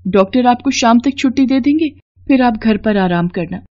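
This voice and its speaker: A woman acting a gentle poor village wife, noisy recording. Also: a little high, animated, moderate pace, lo-fi sound, natural voice. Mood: reassuring. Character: caring, devout poor village wife selling toys. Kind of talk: Hindi moral-story cartoon dubbing.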